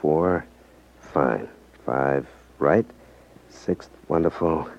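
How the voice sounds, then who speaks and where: An actor playing a sly, cool, confident trickster who feigns innocence in a 1950s radio drama. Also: male voice, very deep voice, very slow, creaky, a little mellow, full character voice. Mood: sly, self-assured. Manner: coy, unruffled, feigns innocence. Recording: muffled 1950s radio recording.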